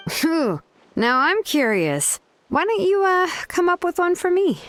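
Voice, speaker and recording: A woman's voice: extremely high pitch, big pitch swings, unhurried, a bit bright, slight background noise, exaggerated voice. An actress playing a laid-back, confident young craftswoman in a video game, explaining things in a casual, matter-of-fact way. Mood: amused, relaxed. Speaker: game character voice actor. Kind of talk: scripted video game dialogue.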